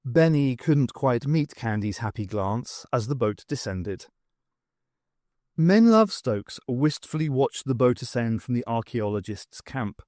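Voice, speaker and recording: A man reading fiction aloud as an audiobook narrator, in an expressive storytelling style. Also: medium pitch, no room sound, studio quality.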